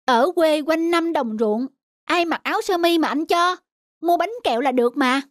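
High-voiced young cartoon wife. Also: female voice, lively intonation, normal speed, a little hiss or hum. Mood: nagging. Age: young adult. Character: young city wife, grumbling but soft-hearted. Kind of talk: cartoon family drama acting.

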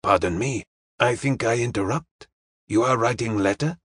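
Man acting a slow, deliberate detective. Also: mid-range voice, fairly bright tone, slightly rough, no echo, studio quality. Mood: calm, assured. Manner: slow, deliberate, formally polite, drops articles. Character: courteous, serene Chinese detective, clipped deliberate speech.

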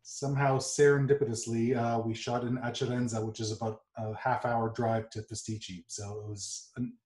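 A man in his forties, a film director answering interview questions over a video call, halting with many fillers. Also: medium pitch, little pitch movement, darkish tone. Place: home office, indoors. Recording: roomy video call audio.

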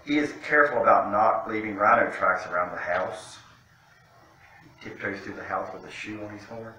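Echoey, bright-voiced man reading a picture book. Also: on the low side, normal speed. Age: middle-aged. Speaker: guest storytime reader, city official. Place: indoor room, seated by a fireplace mantel. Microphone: distant camera microphone. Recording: very echoey room, distant mic, faint noise.

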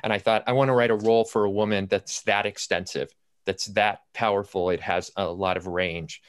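A man's voice: on the low side, fairly even intonation, fairly quick, clear, resonant tone. A middle-aged or older man, a playwright, recounting his writing process anecdotally on a video-call panel, often quoting his own thoughts. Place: indoors at home, on a video call. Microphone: computer video-call audio.

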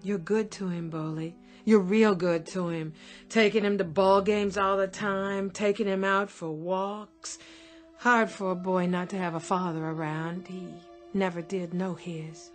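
A woman's voice: on the low side, flat delivery, measured pace, close to an everyday voice. A woman playing a kindly, concerned single mother in a radio drama, plainspoken with an American accent. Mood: tender, concerned. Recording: radio drama with music underneath.

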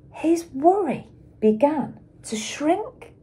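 A middle-aged woman reads a children's picture book aloud like a teacher, with dramatic emphasis and pauses for young listeners. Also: high voice, big pitch swings, mellow. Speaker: schoolteacher reading aloud.

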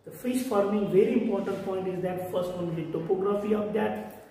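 A man's voice: high-pitched, fairly quick, dark tone, far from the mic, a little hiss or hum. Indian man lecturing in echoey room. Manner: repetitive explaining, gesturing with hands. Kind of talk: classroom lecture. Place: room with whiteboard. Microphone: far camera.

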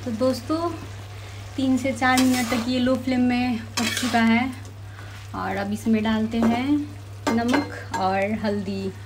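A woman's voice: fairly high pitch, fairly even intonation, slow pace. A young woman with a Bihari Hindi accent chatting to viewers in a home cooking vlog, filmed on a phone held close in her kitchen. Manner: chatty, addresses viewers as friends. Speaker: vlogger. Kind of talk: casual cooking vlog narration. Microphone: phone camera held close. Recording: clear sizzling and pan noise behind. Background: frying and stirring sounds.

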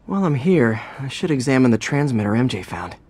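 Lively young American superhero. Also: male voice, medium pitch, fairly quick, slightly rough. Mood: musing. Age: young adult. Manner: quippy, self-talking. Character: young adult male superhero, wisecracking, earnest, anxious. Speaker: game protagonist. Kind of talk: video game voice acting.

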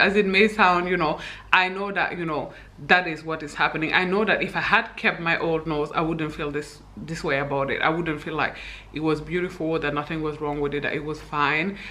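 A young woman from Senegal talking candidly to camera in a personal vlog, chatty, with frequent fillers and light laughs, recorded indoors in a bright living room. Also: low voice, fairly even intonation, normal speed. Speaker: vlogger.

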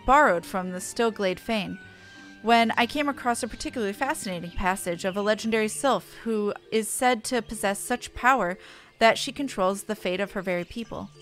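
A woman's voice: mid-range voice, normal speed, soft background music, lightly acted. A woman voicing a pompous, feeble, bumbling male scholar from a fantasy game, with a wordy, theatrical, dithering delivery. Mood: intrigued.

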